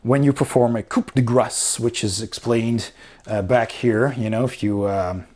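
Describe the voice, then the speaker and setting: A man's voice: mid-range voice, fairly even intonation, a little slow, darkish tone. A man giving a casual spoken review, explaining things informally as he walks through a rulebook. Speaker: board game reviewer.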